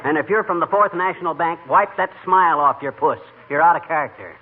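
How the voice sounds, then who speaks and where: A man's voice: a little high, a little fast, crowd noise, natural voice. A man with an American accent playing a grumbling, blustering, folksy middle-aged husband in an old radio comedy, with slangy exaggeration. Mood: wisecracking. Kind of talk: 1940s radio comedy acting. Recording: tinny, noisy old-time radio recording.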